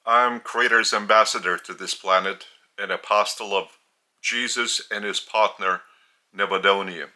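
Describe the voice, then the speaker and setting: A man with a Lithuanian accent giving a spiritual teaching in a deliberate, halting way, with long pauses between phrases. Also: lowish voice, steady tone, pushed and bright.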